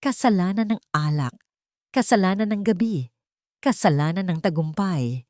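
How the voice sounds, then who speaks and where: A Filipino woman reads a dramatic romance in a fairly low voice. Her storytelling is measured and expressive, and the sound is close and dry, with very little room. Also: no echo. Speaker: narrator of romance stories. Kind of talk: scripted dramatic audio story narration.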